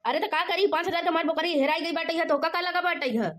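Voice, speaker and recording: A woman's voice: fast, fairly bright tone. A woman voicing a scolding, bossy village auntie in a cartoon, with a rustic Awadhi accent and a piercing voice. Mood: fretful. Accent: Awadhi, eastern Uttar Pradesh. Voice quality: shrill, piercing. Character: scolding, bossy village auntie hunting her stolen goat. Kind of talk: cartoon dialogue in rustic dialect.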